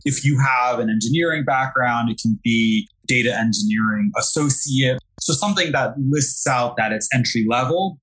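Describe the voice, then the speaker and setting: A man's voice: mid-range voice, fairly bright tone, breathy edge, rough recording. A man from Brooklyn, a guest talking casually about his marketing work, chatty and given to repeating words as he restarts. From Brooklyn, New York. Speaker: guest, digital marketing analyst. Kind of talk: casual interview talk.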